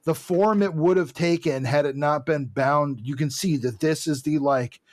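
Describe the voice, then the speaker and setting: A man's voice: a little high, normal speed, a little mellow, no echo. A man co-hosting a podcast, casually recapping and commenting on a comic book in a conversational way. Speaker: podcast co-host.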